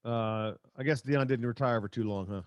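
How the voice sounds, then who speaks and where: A man hosting casual sports talk, blunt and quick to interject with questions to his co-host. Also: mid-range voice, clear, resonant tone, no echo, highs cut off.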